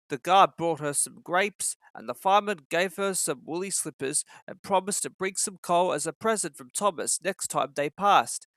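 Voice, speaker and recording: Guy narrating a kids storybook, highish bright voice, very dry recording. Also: no echo. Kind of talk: scripted children's story narration.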